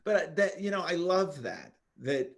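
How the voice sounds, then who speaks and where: A man's voice: fairly high pitch, very animated, unhurried, gravelly. A man hosting a podcast interview, eager and enthusiastic as he welcomes his guest. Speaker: podcast host.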